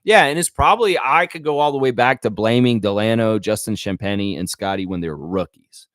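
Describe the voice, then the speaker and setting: A man's voice: lowish voice, fairly quick, a bit bright, no echo, studio quality. A man hosting a live sports fan chat, talking informally and conversationally as he thinks aloud to his viewers.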